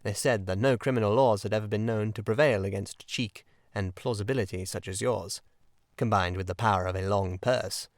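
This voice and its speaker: A man voicing an earnest, excitable water rat in a storybook, speaking in an emphatic and deliberate manner. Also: fairly low pitch, no room sound, studio quality, close to an everyday voice. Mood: grave, confiding. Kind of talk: audiobook character acting.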